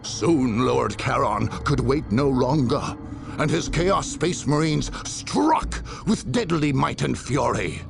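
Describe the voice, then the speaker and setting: A man's voice: mid-range voice, expressive, slow pace, creaky, over music. A man reading scripted dramatic narration for a video game in a grave, portentous manner.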